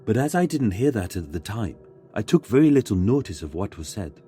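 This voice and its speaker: Low, mellow male storyteller, dramatically paced. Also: big pitch swings, a little hiss or hum. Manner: dramatic, measured storytelling with pauses for suspense. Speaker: guest narrator reading a submitted ghost story. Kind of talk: scripted narration of a true ghost story.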